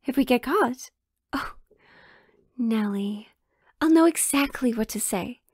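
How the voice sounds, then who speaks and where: A woman's voice: fairly high pitch, lively intonation, slow, darkish tone, slightly rough, dry recording, clean studio sound, exaggerated voice. A woman playing a sheltered, pleading young royal in a romantic audio drama, with hesitant, formal old-fashioned phrasing. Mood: scheming, nervous.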